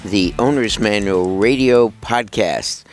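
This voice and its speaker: An older man with a hoarse voice, hosting a podcast in casual, rambling talk full of asides. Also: lowish voice, slow pace, faint music behind. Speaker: podcast host. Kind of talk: casual radio talk with ad read.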